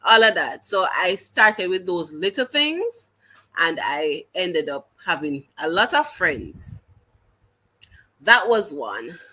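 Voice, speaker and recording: Jamaican woman guest, teacher, unhurried earnest storytelling with a bright, forceful tone. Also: fairly low pitch, big pitch swings. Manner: earnest, halting restarts, slips into patois. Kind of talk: conversational storytelling in an interview.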